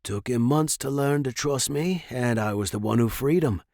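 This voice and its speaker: A man voicing an older male host, easygoing and gently protective of his dragon, in an audiobook story. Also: mid-range voice, normal speed, no echo, studio quality, close to an everyday voice. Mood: calm reassuring.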